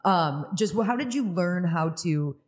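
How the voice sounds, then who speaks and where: A woman's voice: fairly low pitch, expressive, normal speed. A woman co-hosting a sports podcast, asking long interview questions and often murmuring agreement. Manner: attentive, frequent backchannel agreement. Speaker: podcast co-host. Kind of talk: casual interview chat.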